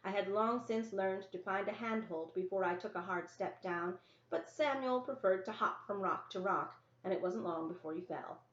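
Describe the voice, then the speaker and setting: A woman's voice: mid-range voice, normal speed, a bit bright. Female story reader, mid-range voice, first-person children's narration, echoey indoor recording. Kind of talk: scripted first-person narration, children's novel read-aloud. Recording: roomy, echoey, dull lo-fi sound.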